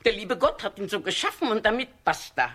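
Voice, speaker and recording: Scolding, doting old village aunt, animated. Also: female voice, medium pitch, brisk. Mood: scolding, defiant. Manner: scolding, emphatic. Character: small hunchbacked village aunt, scolding but doting housekeeper. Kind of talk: children's radio play acting.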